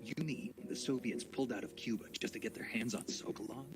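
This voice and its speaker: An actor playing a terse, inquisitive special forces soldier in a video game, with a gruff, gravelly, breathy voice. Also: male voice, mid-range voice, fairly quick, natural voice. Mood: probing. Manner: terse, questioning. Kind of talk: dramatic video game cutscene acting. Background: music.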